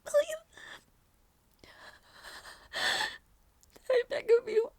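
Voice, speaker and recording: A woman playing a gentle, anxious young princess in an intimate audio roleplay drama, speaking softly and haltingly. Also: very high-pitched, gravelly, no echo. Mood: pleading, tearful. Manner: soft, hesitant, halting delivery.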